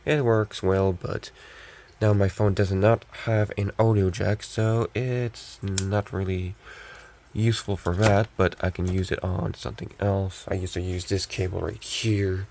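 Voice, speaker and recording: Young male hobbyist, casual show-and-tell, scratchy post-illness voice with lots of fry, faint noise behind. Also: sits low, little pitch movement, measured pace, darkish tone. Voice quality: scratchy, gravelly. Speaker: hobbyist collector showing restored radio.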